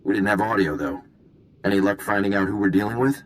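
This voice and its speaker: A man plays an intelligence agent in a video game. His voice is deep and he talks in a wry, conversational way, unruffled and knowing. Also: quick pace, slight background noise, lightly acted. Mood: wry, curious.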